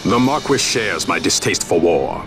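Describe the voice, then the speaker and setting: A man's voice: sits low, sing-song, soft background music, heavily acted. An actor playing a grave nobleman who advises rebels in formal, old-fashioned speech. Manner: formal, archaic, measured speech. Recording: game cutscene with loud orchestral score.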